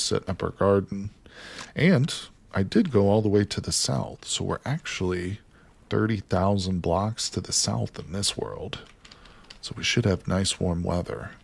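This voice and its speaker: Gravelly low-voiced man streaming a game. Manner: chatty, jokes with chat, greets viewers by name. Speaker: live streamer. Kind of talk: casual live game stream chat.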